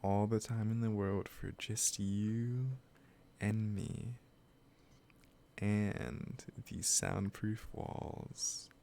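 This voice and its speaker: Casting: male actor as lovesick, possessive kidnapper for roleplay audio. Gravelly low voice, gentle and coaxing. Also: fairly even intonation, slight background noise, full character voice. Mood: calm, coaxing. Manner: slow, hesitant, gently coaxing. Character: obsessive lovesick kidnapper, soft-spoken and possessively sweet. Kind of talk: roleplay audio drama, addressing the listener directly.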